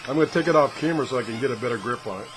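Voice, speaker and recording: A man with a mid-pitched voice gives a casual, hands-on gadget demonstration in his workshop. He rambles in a folksy, self-deprecating way. Steady fan and room noise sits clearly behind him. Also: racing. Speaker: video host reviewing gadgets. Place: home workshop, indoors.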